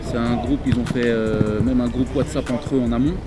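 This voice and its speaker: A man's voice: medium pitch, steady tone, normal speed. A man, a coach, answering in an earnest, hesitant way full of fillers, outdoors. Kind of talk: interview answers. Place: outdoors at a football event. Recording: loud music behind.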